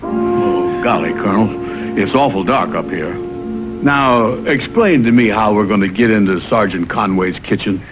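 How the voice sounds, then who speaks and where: Dim, animated cartoon sidekick. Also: male voice, fairly low pitch, loud music behind the voice. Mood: doubtful. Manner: slow-witted, folksy, chuckling. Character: fat, dim, good-natured male cartoon animal sidekick. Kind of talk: 1960s TV cartoon voice acting. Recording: dull vintage TV audio, faint noise.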